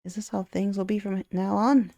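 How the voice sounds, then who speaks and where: A gentle young boy from a visual novel, read by a woman, shy and homesick. He speaks humbly in a subdued way, with a dry, close sound. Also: mid-range voice, fairly even intonation, normal speed, vocal fry, no echo, lightly acted.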